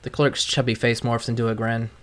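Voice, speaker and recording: A man's voice: medium pitch, flat delivery, fairly quick, fairly bright tone. A man reading a visual novel aloud as scripted narration. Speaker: gaming streamer. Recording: clear music playing behind.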